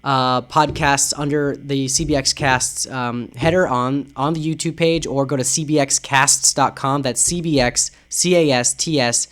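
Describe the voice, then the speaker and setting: A man's voice: medium pitch, fairly even intonation, a little slow, few pauses, fairly bright tone. A man hosting a podcast, closing the show in a rambling, casual way full of ums and uhs. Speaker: podcast host.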